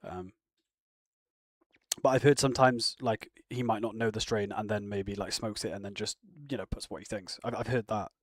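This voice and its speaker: A man's voice: on the low side, fairly even intonation, normal speed, dry recording. Rambling man, dry close sound. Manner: rambling, answers viewer comments, frequent restarts. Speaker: live streamer reacting to chat. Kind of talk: casual chat.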